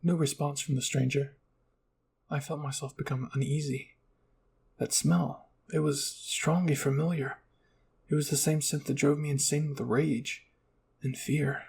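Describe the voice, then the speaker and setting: A man's voice: on the high side, steady tone, a little slow, creaky, darkish tone, slightly rough. A man narrating a scripted first-person roleplay story.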